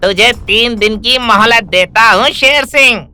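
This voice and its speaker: A performer voicing a menacing, boastful giant rat tyrant in an animated story, making slow villainous threats. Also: mid-range voice. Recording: music under the voice.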